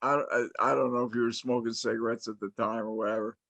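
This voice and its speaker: A man's voice: medium pitch, fairly even intonation, quick pace, creaky, fairly bright tone, no room sound. A middle-aged man from New Jersey hosting a casual interview, telling long stories with many restarts and fillers. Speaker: host, interviewer.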